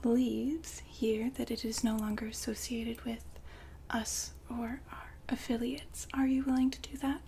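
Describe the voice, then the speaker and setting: A woman playing the poised, businesslike madam of a futuristic establishment in an ASMR roleplay, whispering softly and formally to a client. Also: a little high, flat delivery, a little hiss or hum. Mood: courteous, businesslike. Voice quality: soft, breathy whisper. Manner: polite, formal, unhurried saleswoman patter.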